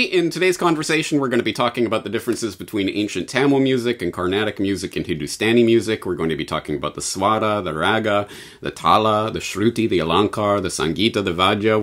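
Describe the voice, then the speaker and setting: A man in his forties hosting a casual video call conversation on a headset from a room at home, with dry humor. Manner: dry, wry humor with lively pitch swings. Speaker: host.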